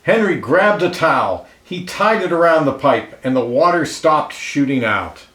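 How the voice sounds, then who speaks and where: A man reading a children's picture book aloud expressively, pausing to comment and ask listeners questions, in an echoey room. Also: fairly high pitch, sing-song, a bit bright. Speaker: storybook reader. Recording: roomy, echoey indoor sound.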